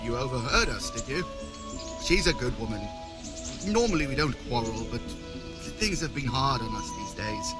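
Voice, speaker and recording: A male actor as a poor medieval refugee in a game, anxious and humble. He speaks at a middling pitch in a hesitant, confiding way, under loud music. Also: expressive, normal speed, lightly acted. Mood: troubled, confiding. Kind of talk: video game character dialogue.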